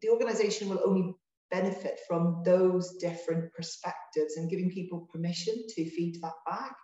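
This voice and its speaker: Woman, mellow voice in an echoey room. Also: on the low side, fairly even intonation, muffled recording. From Ireland. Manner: measured, with frequent restarts and fillers. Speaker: NHS trust managing director, guest. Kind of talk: interview conversation.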